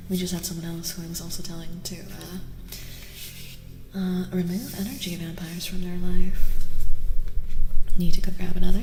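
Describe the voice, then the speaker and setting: A woman speaking softly, often near a whisper, chatting with viewers as host of an ASMR livestream in an unhurried way. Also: lowish voice, roomy sound. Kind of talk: soft-spoken ASMR livestream chat. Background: music.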